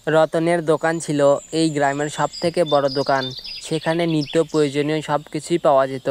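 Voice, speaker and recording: A storyteller narrates a scripted cartoon moral tale at a medium pitch. Background noise can be heard behind the narration. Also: little pitch movement, brisk.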